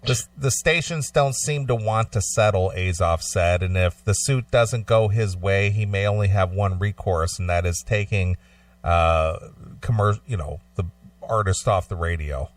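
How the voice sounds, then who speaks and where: Low-voiced man co-hosting, slightly off-mic. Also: unhurried, far from the mic. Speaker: radio show co-host. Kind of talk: reading news article with casual commentary.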